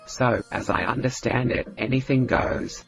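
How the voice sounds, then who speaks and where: A man voicing a chatty, earthy Australian woman with a raspy, smoky voice in scripted comedy dialogue. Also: mid-range voice, steady tone, measured pace, fairly bright tone, faint music behind, rough recording. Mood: matter-of-fact.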